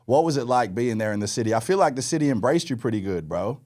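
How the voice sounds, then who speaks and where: A man's voice: mid-range voice, expressive, fast, a little mellow. A man from Australia co-hosting a casual sports podcast in slangy, buddy-style talk. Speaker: podcast co-host.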